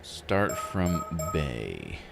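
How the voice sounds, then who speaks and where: A man's voice: extremely low pitch, wildly swooping pitch, very slow, creaky, loud music behind the voice. A man giving casual game commentary, thinking aloud in a musing, tentative way as he plays a visual novel. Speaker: streamer playing a visual novel.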